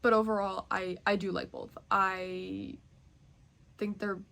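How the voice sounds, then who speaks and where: A woman's voice: mid-range voice, level tone, slow, creaky, a bit bright. A young woman chatting casually as a book vlogger, nasally congested and rambling with many fillers. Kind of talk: casual chat.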